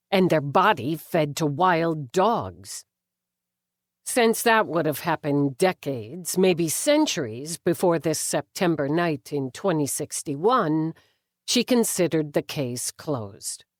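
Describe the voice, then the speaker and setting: Expressive woman narrating, very dry close sound. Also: lowish voice, dry recording. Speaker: audiobook narrator. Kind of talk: scripted fiction narration.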